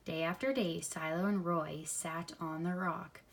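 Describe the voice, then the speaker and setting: Woman doing a kids read aloud, expressive, chats about the story as she goes. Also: on the low side, measured pace. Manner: expressive, simple explanations as if to young children. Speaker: storybook reader. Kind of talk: children's read-aloud with think-aloud comments.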